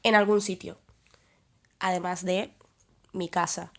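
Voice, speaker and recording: A young woman from Venezuela with a Venezuelan Spanish accent, talking through her thoughts in a relaxed podcast chat, with pauses and restarts. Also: on the low side. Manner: reflective, thinks aloud with fillers and restarts. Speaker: podcast guest. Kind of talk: casual conversation.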